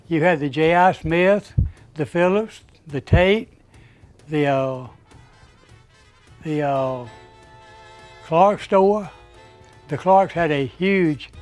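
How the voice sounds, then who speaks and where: A man, slowly recalling his hometown's past, pausing to remember names. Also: a little high. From Florida. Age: 90s. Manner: slow, recollecting, with pauses to recall names. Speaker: interviewee. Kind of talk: oral history reminiscence.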